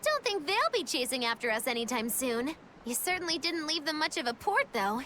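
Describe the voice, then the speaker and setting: A woman's voice: high voice, sing-song, fairly quick, bright, forceful tone, a little hiss or hum, exaggerated voice. A woman playing a flippant, mischievous young witch in a video game, teasing and theatrical. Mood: breezy, theatrical.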